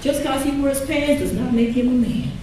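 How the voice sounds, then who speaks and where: A woman's voice: sits high, steady tone, normal speed, a crowd in the background, close to an everyday voice. An actress playing a woman in an emotional stage drama about marriage, earnest and emphatic. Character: women in emotional confrontation over abusive marriage. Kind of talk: live stage play acting. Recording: echoey stage hall, distant mic, music behind.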